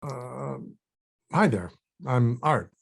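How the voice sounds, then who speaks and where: A man's voice: medium pitch, animated, drawn-out words, gravelly, husky, heavily acted. An actor at a table read playing a wry, wary older male actor with a dry, hesitant delivery. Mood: polite, dry.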